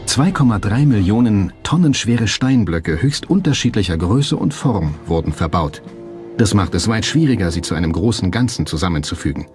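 A man narrates a documentary in a fairly low voice with lively rises and falls. His delivery is scripted, measured and factual, with music clearly playing behind him. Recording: music bed under the voice. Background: background music.

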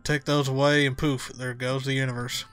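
A man doing a calm, brainy bear guy. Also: mid-range voice, moderate pace, faint music behind, pitched below the performer's own voice, close to an everyday voice. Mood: deadpan. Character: calm, brainy young adult male bear.

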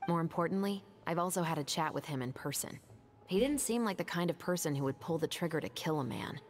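An actress playing a calm, methodical detective captain who speaks in a measured, serious way. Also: female voice, fairly low pitch, faint music behind, lightly acted. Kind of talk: video game voice acting.

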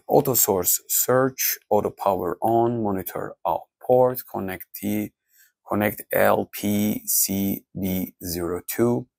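A tech reviewer talks through settings menus, stopping and restarting often. His voice is low with a gravelly edge. The recording is dry and close. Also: no echo. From Turkey. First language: Turkish. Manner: halting, many restarts and fillers. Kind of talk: off-the-cuff menu walkthrough.

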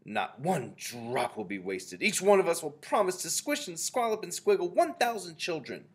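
Man putting on high, gushing witch underlings. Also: very animated, normal speed, husky. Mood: fawning. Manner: high, exclamatory, exaggerated. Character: fawning, excitable assembly of female witches.

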